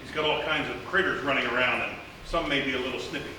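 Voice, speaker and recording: A man's voice: medium pitch, quick pace, pushed and bright. An American man playing a laconic small-town sheriff in live comedic radio theatre, speaking with dry, folksy authority. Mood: commanding. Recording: echoey live theatre, far mic, faint noise.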